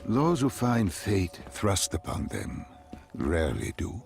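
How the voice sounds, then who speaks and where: A man voicing a calm, probing, enigmatic interrogator in a video game cutscene, asking questions in a deliberate, ominous, philosophical manner. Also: low voice, creaky, soft background music, full character voice.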